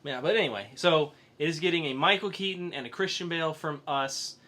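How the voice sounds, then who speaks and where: A man's voice: on the high side, a little fast, pushed and bright. A man hosting a podcast, chatting casually as if reviewing for friends. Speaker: podcast host. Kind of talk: casual comic book review chat.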